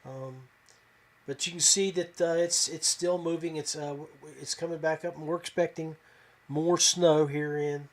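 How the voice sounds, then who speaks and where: Halting, higher-pitched casual podcast man. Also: fairly even intonation. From West Virginia. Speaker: podcast host. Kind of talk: casual fishing podcast monologue.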